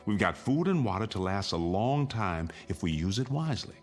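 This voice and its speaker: Radio drama father, American, middle-aged: low, very animated voice, commanding yet steadying. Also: moderate pace, faint music behind. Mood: steadying, tense. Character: urgent, steadying father and husband taking charge.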